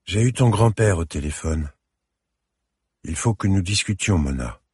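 A man voicing an anxious adult mother who speaks softly and apologetically to her daughter in an audiobook. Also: low voice, expressive, unhurried, slightly rough, no echo, clean studio sound, full character voice. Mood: sorrowful. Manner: soft, apologetic pleading.

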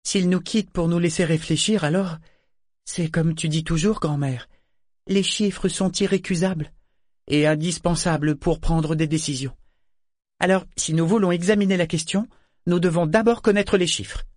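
A man's voice: a little high, steady tone, moderate pace, a little mellow, no room sound, clean studio sound, pitched above the performer's own voice, close to an everyday voice. A man voicing an anxious, eager, insistent adolescent girl in an audiobook. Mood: earnest, reasoning. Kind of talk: audiobook character dialogue.

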